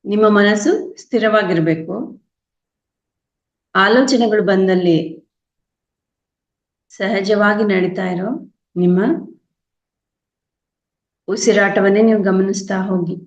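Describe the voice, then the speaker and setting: A woman with a Kannada accent leading a guided meditation, giving calm, unhurried instructions with long pauses. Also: mid-range voice. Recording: dull, echoey lo-fi room sound.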